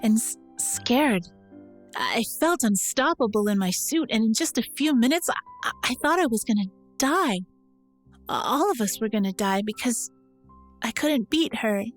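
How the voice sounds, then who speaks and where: A woman playing a stubborn yet vulnerable young mech pilot in an audio drama, speaking haltingly. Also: on the high side, animated, soft background music. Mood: shaken, defensive. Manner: halting, unsure.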